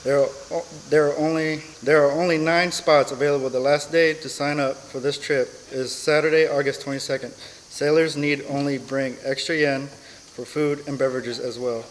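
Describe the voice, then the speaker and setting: A man's voice: on the high side, in a large hall, slight background noise, rough recording. A man from Okinawa reading announcements and answering interview questions politely and formally. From Okinawa, Japan. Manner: polite, formal, says sir. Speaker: sailor being interviewed.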